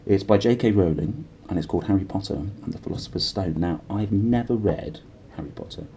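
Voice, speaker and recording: A man's voice: sits low, fairly quick, slight background noise. A man hosting a read-aloud of a children's novel, speaking expressively with dramatic emphasis, as if straight to the listener. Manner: animated, dramatic emphasis, addresses the listener directly. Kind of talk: expressive storybook reading with chatty intro.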